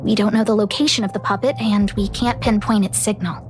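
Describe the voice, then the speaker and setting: An actress playing a polite, earnest young space station leader in a video game, explaining things courteously. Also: female voice, mid-range voice, fairly even intonation, a little fast, close to an everyday voice. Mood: serious briefing. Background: background music.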